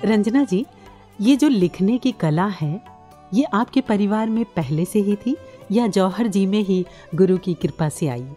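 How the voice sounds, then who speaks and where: A woman's voice: mid-range voice, lively intonation, measured pace, warm tone, faint music behind. A woman hosting a conversational devotional radio interview, listening attentively and affirming what her guests say. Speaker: interviewer and programme host.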